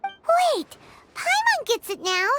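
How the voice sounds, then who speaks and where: Squeaky, very high-pitched excitable little fairy. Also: female voice, slow pace, very noisy, heavily acted. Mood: excited realization. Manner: exclamatory, refers to self in third person. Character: tiny excitable floating fairy companion, childlike girl. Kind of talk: video game voice acting.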